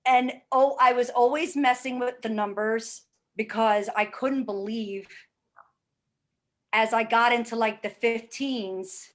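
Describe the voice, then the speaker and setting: A woman in her forties speaks slowly and with weight in a fairly high voice. She is blunt and emphatic and swears casually. She tells her own story in a candid, conversational interview. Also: steady tone, fairly bright tone. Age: 40s. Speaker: fitness program client.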